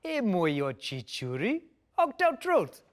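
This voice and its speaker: Hairy giant forest creature, male actor, drama table read. Unpredictable temper, broken pidgin muttering, highly animated pitch. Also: slow pace, heavily acted. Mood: jovial. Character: huge wiry-haired forest beast, volatile, muttering, childlike, suddenly jovial.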